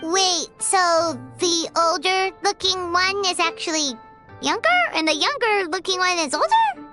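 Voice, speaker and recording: A woman voicing a small, childlike fairy companion in a video game, squeaky, excitable and blunt. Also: a little slow, faint music behind, exaggerated voice. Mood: curious. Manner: refers to self in third person, exclamatory.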